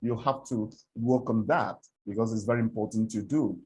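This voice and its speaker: A man from Nigeria with an Igbo accent, lecturing on English speech for presenters in a deliberate way, repeating words and giving examples. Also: lowish voice, darkish tone. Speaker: presentation coach. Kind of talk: spoken lecture on English diction.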